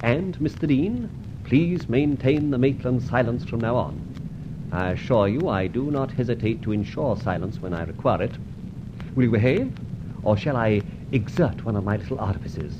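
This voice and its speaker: An actor playing a suave, teasing gentleman adventurer in an old radio serial, pompous and flippant with mock courtesy. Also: mid-range voice, lively intonation, normal speed, background noise, natural voice. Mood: teasing, commanding. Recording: dull old radio recording.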